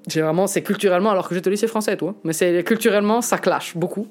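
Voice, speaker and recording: Halting, frank young man from Italy. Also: high voice. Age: late 20s. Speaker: interviewee. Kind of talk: candid personal testimony.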